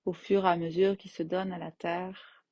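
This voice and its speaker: A woman guiding a yoga nidra relaxation as its teacher, in gentle, unhurried phrases with long pauses. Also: fairly low pitch, level tone, dry recording. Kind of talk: guided meditation, slow soothing instruction.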